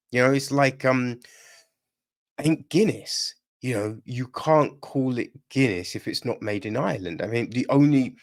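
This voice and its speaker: A man giving a casual podcast film review, rambling and opinionated, with constant tag questions. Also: mid-range voice. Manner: rambling, frequent tag questions like "right?" and "you know"; opinionated and irritated.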